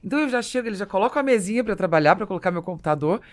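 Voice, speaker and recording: A woman's voice: mid-range voice, lively intonation, rapid-fire. A woman with a Brazilian accent hosting a casual business podcast, talking emphatically and explaining through personal examples. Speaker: podcast host.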